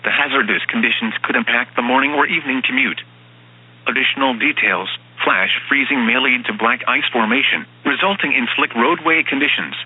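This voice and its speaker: A synthetic man's voice reading formal emergency weather bulletins as an automated announcer, in a flat, stilted manner, with lo-fi radio sound. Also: mid-range voice, slightly rough. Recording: dull, band-limited weather radio broadcast sound.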